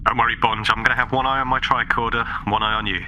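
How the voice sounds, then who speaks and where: A man's voice: medium pitch, little pitch movement, fast talker, bright, forceful tone, a little hiss or hum, poor audio. A man voicing an eager, friendly male starship crewman in a tabletop sci-fi roleplay. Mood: friendly, reassuring.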